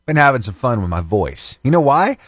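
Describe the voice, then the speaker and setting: Male radio morning-show host, lively pitch, dry close studio sound, fervent then chatty. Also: fairly quick, slightly rough, dry recording. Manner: animated, fervent praying then chatty and upbeat. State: slightly itchy throat, voice lower than usual. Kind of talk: prayer and casual radio chat. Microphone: studio microphone.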